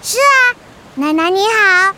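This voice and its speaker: A woman's voice: very high-pitched, animated, slow pace, pushed and bright, a little hiss or hum, exaggerated voice. A woman voicing a sweet, eager little witch girl with a childlike voice in a children's audio drama. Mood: cheerful, eager. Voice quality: childlike, cutesy.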